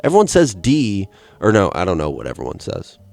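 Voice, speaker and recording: Low-voiced rambling young man. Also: a little fast, creaky, no echo, soft background music. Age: 20s. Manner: rambling and profane, with deadpan asides. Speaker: podcast host. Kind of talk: solo comedic rant.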